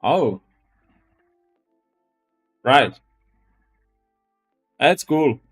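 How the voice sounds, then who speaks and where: A man with a Czech accent talks casually on a live stream at an unhurried pace, with faint music behind him. He speaks haltingly, doubling back on words and phrases as he goes. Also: mid-range voice, lively intonation. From Czech Republic. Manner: halting, repeats and restarts phrases. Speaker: live-stream host, web developer.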